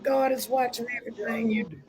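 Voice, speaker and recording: A woman's voice: on the high side, steady tone, quick pace, darkish tone, rough recording. A woman hosting a talk show, telling stories and preaching scripture in a folksy, casual way.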